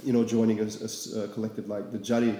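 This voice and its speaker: A young man from Singapore chats loosely as an interview guest, filling his sentences with ums and asides. His voice is dark and mellow and sits a little low. The room is very echoey, with a long reverb trailing after each phrase. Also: fast. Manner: relaxed, rambling, many fillers. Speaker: artist guest. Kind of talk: casual interview.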